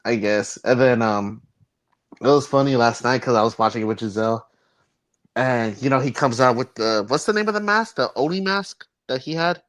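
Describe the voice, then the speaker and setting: A man co-hosting a wrestling podcast, chatting casually, laughing often and swearing when excited. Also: medium pitch, normal speed. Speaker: podcast co-host.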